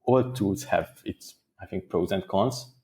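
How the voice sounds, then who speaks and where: Low-voiced man. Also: a little mellow. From Hungary. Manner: thoughtful, measured, with small restarts. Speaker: guest data engineer. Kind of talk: interview answers.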